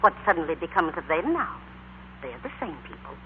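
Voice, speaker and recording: A woman's voice: deep voice, sing-song, a little fast. Earnest mother, tinny old radio. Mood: gently reproachful. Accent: American. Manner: earnest, tender, dramatic moralizing. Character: proud, principled, gently reproachful middle-aged mother. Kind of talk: 1940s radio drama acting. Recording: tinny, noisy 1940s radio recording.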